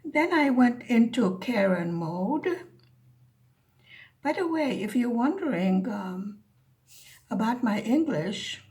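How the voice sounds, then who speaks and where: A woman with a slight Hungarian-American accent talks through her diamond painting at an unhurried pace. Her voice sits a little high, and she rambles in a chatty way, calling her viewers folks. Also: lively intonation, darkish tone. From United States. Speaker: craft vlogger. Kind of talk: casual chatty craft narration.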